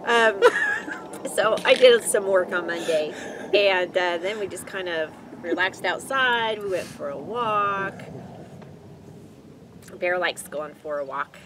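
A woman's voice: lowish voice, slow. The kind of middle-aged woman you would hear hosting a casual chat from a camp chair outside an RV, with outdoor noise around her. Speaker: vlog host. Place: outdoors in open desert beside an RV.

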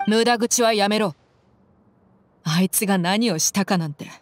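A woman's voice: medium pitch, normal speed, slight background noise, natural voice. Woman acting a tough, blunt female mercenary. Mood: stern. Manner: blunt, commanding, tomboyish speech. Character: tough, cool-headed young woman mercenary. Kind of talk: Japanese game voice acting.

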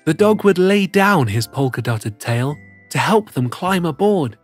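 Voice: medium pitch, animated, unhurried, soft background music. A performer voicing a cheerful plush cat who reads picture books to children in a theatrical, sing-song way. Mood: storytelling. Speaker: storyteller host. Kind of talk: expressive children's picture-book read-aloud.

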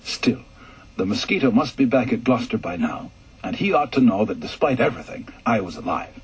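A male storyteller reading a scripted first-person radio story in a measured, earnest way. His voice is very husky, at a middling pitch for a man. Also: animated, rough recording.

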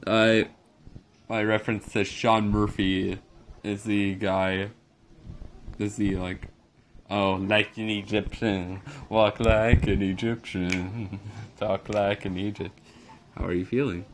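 A man's voice: on the low side, little pitch movement, slow pace, a little hiss or hum. A young man casually commenting over a game, rambling and joking, mumbling through on-screen text. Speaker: gameplay video maker.

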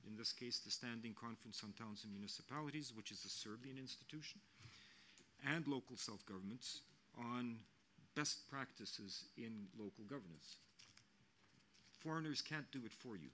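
A man in his sixties speaking as a mission official on a panel, measured and earnest, often restarting his sentences, into a desk microphone in a press hall. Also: medium pitch, lively intonation, bright, forceful tone, slight background noise. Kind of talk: unscripted panel remarks.

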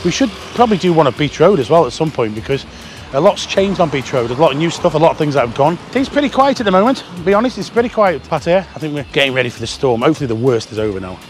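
A man's voice: a little high, very animated, racing. A man in his fifties walking city streets and chatting casually as he points out shops and bars for his vlog. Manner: chatty, pointing things out as he walks. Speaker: vlogger walking tour guide. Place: outdoors on a busy city street. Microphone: action camera worn or handheld. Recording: background music under street sound.

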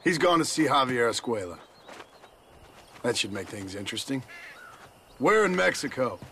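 An actor playing a gruff, world-weary American gunslinger in a Western video game, terse and dry. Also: male voice, mid-range voice, sing-song, moderate pace, sharp tone, slight background noise.

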